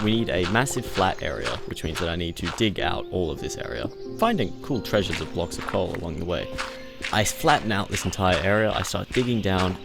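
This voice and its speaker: A man's voice: sits low, vocal fry. A man giving casual, conversational, jokey first-person narration of his video game play. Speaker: gaming video creator narrating gameplay. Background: music behind the voice.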